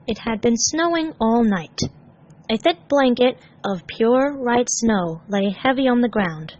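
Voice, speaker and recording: A woman reading a scripted story aloud as narrator, measured and expressive. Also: fairly high pitch, dull audio. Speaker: fan fiction narrator.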